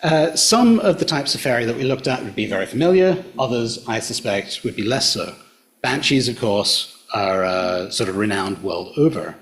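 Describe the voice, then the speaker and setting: A middle-aged man with an Australian accent, giving a public talk in a hotel function room and retelling local folklore in a measured storyteller's way. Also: medium pitch, lively intonation, fairly bright tone. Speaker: local history presenter.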